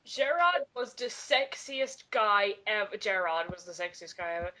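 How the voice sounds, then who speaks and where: A woman's voice: medium pitch, lively intonation, moderate pace, pushed and bright, low-quality recording. A woman giving a dramatic reading of fan fiction and interrupting it with her own comments. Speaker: player in a dramatic reading game.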